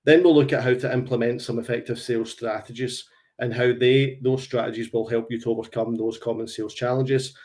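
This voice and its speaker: A man's voice: mid-range voice, fairly even intonation, a little fast, darkish tone. Glaswegian man presenting a sales webinar. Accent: Glaswegian Scottish. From Glasgow, Scotland. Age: around 40.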